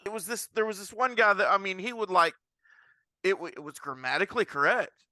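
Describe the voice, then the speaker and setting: High, very bright-voiced man hosting a podcast. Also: fairly quick, dry recording. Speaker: podcast host. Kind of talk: casual podcast chat and commentary.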